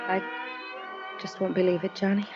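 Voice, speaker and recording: A woman's voice: mid-range voice, flat delivery, moderate pace, over loud music, lightly acted. A loyal, anguished wife, played by a woman in golden-age radio style. Her voice is mid-range, earnest and hesitant, with a wistful melodramatic edge. The recording is dull and dated. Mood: disbelieving. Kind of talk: 1950s American radio drama acting.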